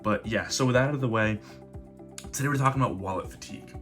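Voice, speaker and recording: A man's voice: medium pitch, fast, fairly bright tone. A man coming down with a cold, hosting his own channel and explaining in a casual, rambling way with frequent restarts. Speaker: host of a card collecting channel. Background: background guitar music.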